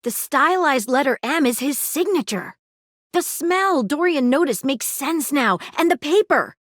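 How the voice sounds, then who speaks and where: A woman's voice: high-pitched, animated, unhurried, a bit bright, dry recording, studio quality. A woman voicing an eager, inquisitive young female detective in a video game, earnest and full of facts. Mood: excited. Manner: earnest, inquisitive, fact-loving. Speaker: voice actor. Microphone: studio mic, dry booth.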